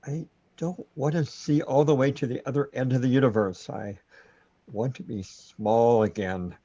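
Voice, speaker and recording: A man playing a panicking, fretful man in a radio play, faltering and pleading, with a husky voice. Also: mid-range voice, fairly even intonation, normal speed, warm tone, dry recording, lo-fi sound, close to an everyday voice. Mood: desperate, panicked. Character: panicking man lost in the void, desperate and fretful.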